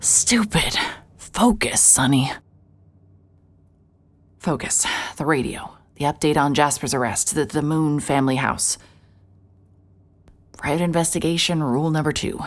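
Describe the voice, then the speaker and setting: A woman's voice: low voice, unhurried, pauses often. A woman voicing a hard-hearted private investigator, narrating in a dry, hard-boiled inner monologue. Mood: guarded. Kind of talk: noir first-person narration.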